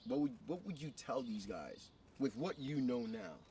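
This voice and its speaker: A man from Ghana hosting a casual interview for his vlog, excitable and profane, often cutting in. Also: medium pitch, moderate pace. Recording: distant mic with background noise.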